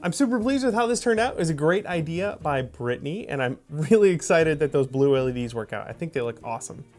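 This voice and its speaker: Upbeat man with very lively intonation. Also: fairly high pitch. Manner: upbeat, casual. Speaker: channel host and costume maker. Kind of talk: friendly scripted tutorial narration.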